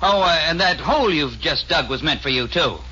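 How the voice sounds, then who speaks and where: An actor playing a brisk, knowing western man in a 1940s radio drama, folksy and matter-of-fact. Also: fairly high pitch, expressive, bright, forceful tone, breathy edge, heavily acted. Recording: muffled, faintly noisy 1940s radio recording.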